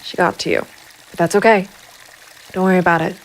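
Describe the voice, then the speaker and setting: A woman playing a hard-bitten, brooding female private investigator in a noir audio drama, with grim, measured delivery. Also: fairly low pitch, fairly even intonation, lightly acted, low-quality recording. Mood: gentle, guarded. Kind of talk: noir first-person narration and audio drama dialogue. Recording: music behind the voice. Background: music.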